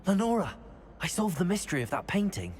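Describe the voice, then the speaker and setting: A man's voice: sits high, very animated, a little fast, slight background noise. A young man playing an earnest, curious teenage wizard student in a video game, polite and articulate. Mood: intrigued. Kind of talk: scripted game cutscene acting. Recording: prominent music under the voice.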